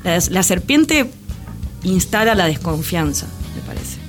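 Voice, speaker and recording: A woman's voice: on the low side, sing-song, a little slow. An Argentine woman co-hosting a radio show, chatting casually and a little hesitantly as she thinks aloud. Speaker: radio co-host. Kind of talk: casual panel discussion. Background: background music.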